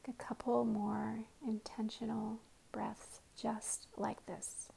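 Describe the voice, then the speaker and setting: Soft-voiced woman leading a prayerful body scan, breathy and slow, half whispering. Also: fairly high pitch, flat delivery, poor audio. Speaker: guided meditation teacher.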